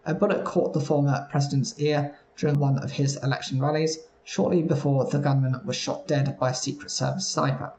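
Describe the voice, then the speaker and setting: A man reading news stories aloud at a steady pace, sometimes stumbling over words. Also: a little high, brisk, few pauses, echoey room, rough recording. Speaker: news reader.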